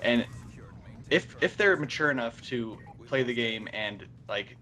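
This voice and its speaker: A nineteen-year-old man chatting casually as a guest on a gaming show, halting as he searches for words. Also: medium pitch, animated, pushed and bright. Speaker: guest on a gaming video series. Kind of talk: casual chat over a call.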